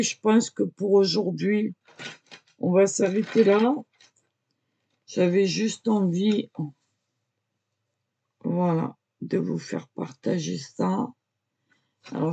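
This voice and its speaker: A woman's voice: medium pitch, gravelly, dark tone, slightly rough, highs cut off. A woman casually talking viewers through her drawing, hesitant and full of filler words, prone to coughing as she talks. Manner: hesitant, lots of "voilà" and "euh"; coughs and chokes easily when talking. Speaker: amateur artist showing a drawing.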